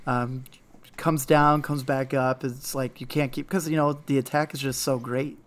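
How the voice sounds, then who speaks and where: A man co-hosting a casual card game podcast, his voice raspy and hoarse from overuse, rambling with many fillers. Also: mid-range voice, flat delivery, moderate pace. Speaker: podcast co-host.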